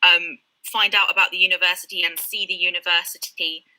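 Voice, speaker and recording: A woman's voice: on the low side, flat delivery, a little fast, bright, forceful tone, rough recording. A British woman tutor explaining things in an online live session, with frequent ums and light laughs. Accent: British English. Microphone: video call.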